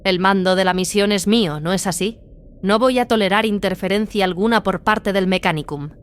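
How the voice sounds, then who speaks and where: Resolute warrior nun played by a woman, very clear smooth voice, firm, commanding, formal, audio drama. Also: mid-range voice, a little fast, natural voice. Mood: firm, defiant. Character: resolute battle sister, devout warrior woman.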